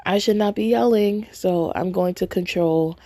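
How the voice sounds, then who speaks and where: Female podcast host, cold-hoarsened and unhurried, dark tone, conversational and halting, recorded close and dry. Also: on the low side, no echo. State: hoarse from a cold, voice nearly gone. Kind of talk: casual spoken commentary to listeners. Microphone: phone held close to mouth.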